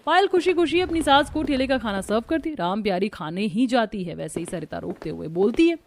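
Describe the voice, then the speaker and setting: A woman reading scripted narration for an animated family story as its storyteller. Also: on the high side, fairly quick, slight background noise.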